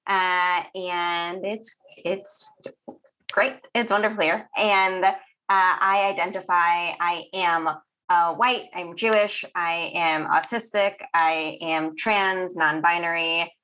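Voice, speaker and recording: A consultant from Staten Island with a New York accent, chatting relaxed and jokey as a guest on a video call panel. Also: male voice, sits high, slow pace, smooth.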